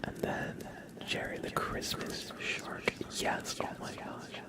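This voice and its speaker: An ASMR streamer chatting casually in a soft, rambling whisper during a livestream. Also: takes it slowly.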